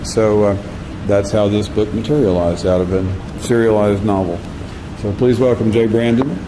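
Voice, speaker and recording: A man giving an informal, halting introduction of a speaker as host of a public reading. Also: lowish voice, fairly even intonation. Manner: halting, pausing between short phrases. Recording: echoey hall, loud background noise.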